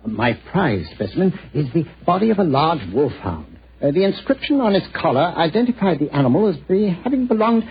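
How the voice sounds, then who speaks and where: Lively, fussy old-radio professor. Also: male voice, a little high, a little fast, breathy edge, heavily acted. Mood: proud, eager. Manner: fussy, hesitant, full of uhs. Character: eager, sociable male museum professor and archaeologist. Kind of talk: 1940s radio drama acting. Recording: dull, noisy old radio recording.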